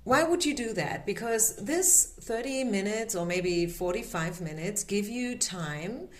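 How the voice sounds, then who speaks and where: A woman with a German accent, a non-native English speaker, giving a tarot card reading in an unhurried, gently reassuring way with frequent filler words. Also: medium pitch, animated, reverberant.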